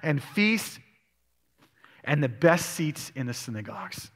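A man's voice: mid-range voice, sing-song, unhurried, a bit bright. A male pastor preaching a sermon, reading scripture aloud and asking his congregation questions. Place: church sanctuary. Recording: long church hall reverb.

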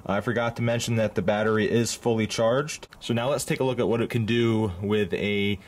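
A man's voice: on the low side, steady tone, a little fast. A man giving a casual, plainspoken tool review, with frequent ums and uhs. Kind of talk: casual product review voice-over.

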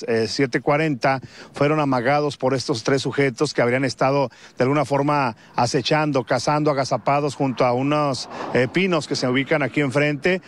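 A middle-aged man from Mexico, reporting live from a street, speaking off the cuff with fillers and restarts. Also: mid-range voice. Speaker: field reporter. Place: outdoors on a residential street. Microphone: handheld mic.

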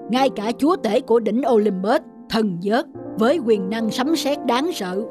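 A woman voicing a majestic, protective father sun god in an animated fairy tale, solemn and admonishing in manner. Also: a little high, normal speed, lightly acted. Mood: stern warning. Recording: music behind the dialogue.

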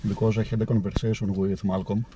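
Greek-accented man over loud car noise. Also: fairly low pitch, flat delivery, quick pace. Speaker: host, club staff member. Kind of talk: casual chat while driving. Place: inside a moving car.

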